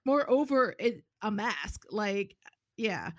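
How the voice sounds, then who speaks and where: A woman in her forties, a clinician, chatting casually in an animated, easy way. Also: medium pitch, no echo, studio quality. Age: 40s. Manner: chatty, quick to laugh, animated. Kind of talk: casual chat.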